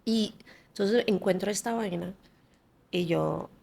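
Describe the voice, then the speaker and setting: An American-born woman in her forties, a native English speaker, talks as a podcast guest. Her voice carries a gravelly fry, and her pitch sits in the middle for a woman. She tells her story in an animated way, laughing often and restarting phrases. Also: slow. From United States. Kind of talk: candid conversational storytelling.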